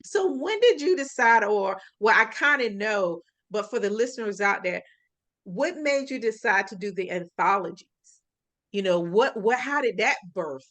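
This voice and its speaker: Lively woman hosting a chat. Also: medium pitch, moderate pace. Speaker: host. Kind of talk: casual interview chat.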